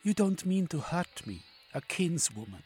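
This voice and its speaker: A man's voice: on the high side, sing-song, a little slow, a little mellow, soft background music, pitched above the performer's own voice. Man voicing a frightened woman captive. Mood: fearful. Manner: pleading, fearful.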